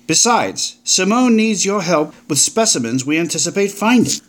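An actor with a British accent playing a breezy, upbeat forty-year-old paleontologist full of old-fashioned slang. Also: male voice, high-pitched, animated, moderate pace. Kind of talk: audio drama acting.

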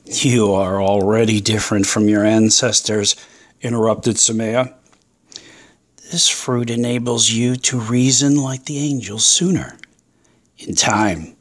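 A performer plays a seductive snake from an audio drama, grand and taunting. The creature draws out its promises slowly and persuasively, tempting with visions of godlike reason. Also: medium pitch.

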